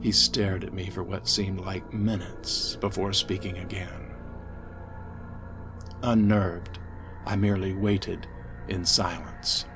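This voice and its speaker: Male horror narrator, low and unhurried, theatrical and ominous, with music behind. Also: little pitch movement. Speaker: author reading own horror tale on podcast. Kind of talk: dramatic scripted narration, gothic horror.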